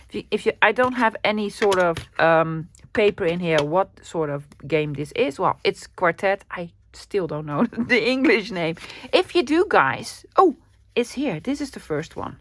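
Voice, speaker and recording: A woman's voice: medium pitch, fairly bright tone, slight background noise. A woman from the Netherlands with a Dutch accent, chatting upbeat and casually in a crafting haul video, with small laughs and ums. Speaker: crafting vlogger. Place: home room at the end of the day.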